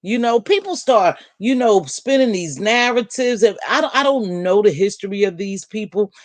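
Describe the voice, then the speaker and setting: A middle-aged woman with a deep voice, hosting a casual livestream from home and chatting bluntly with viewers, calling them baby and girl. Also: lively intonation, moderate pace, no room sound. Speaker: livestream host. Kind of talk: casual live chat, answering viewer comments.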